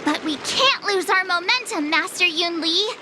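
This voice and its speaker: An exuberant teenage girl apprentice, speaks in a very high, animated voice. She is dramatic and boastful, in anime game style. Clear ambient noise runs behind her. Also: normal speed. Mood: confident, pleading. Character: perky, eager teenage girl, apprentice swordswoman. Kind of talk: English anime game voice acting.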